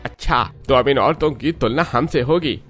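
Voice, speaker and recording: A man's voice: mid-range voice, moderate pace, a bit bright, faint music behind, lightly acted. A man voicing a rude, resentful village son and husband in a cartoon, contemptuous and scolding. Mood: defiant, angry.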